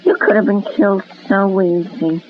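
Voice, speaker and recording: A man's voice: sits high, fairly even intonation, normal speed, natural voice. An actor playing an awkward, anxious, overly polite young man in an old-time radio soap, hesitant and formal. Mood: anxious, earnest. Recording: lo-fi old radio recording with hiss.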